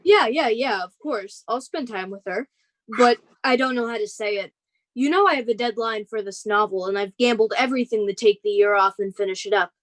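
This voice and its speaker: A woman's voice: fairly high pitch, brisk, a bit bright, dry recording, lightly acted. Woman as a strained father, dry close sound. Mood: defensive, guilty. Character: strained, self-absorbed father and novelist, dismissive then apologetic. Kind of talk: live radio drama acting.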